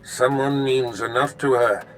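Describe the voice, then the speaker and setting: Slow, imperious Sith lord played by a man. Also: medium pitch, steady tone, faint music behind, lightly acted, low-quality recording. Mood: commanding. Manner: imperious, deliberate. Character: cold, scheming male Sith lord instructing his apprentice. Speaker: voice actor in a video game. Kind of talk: dramatic scripted game dialogue.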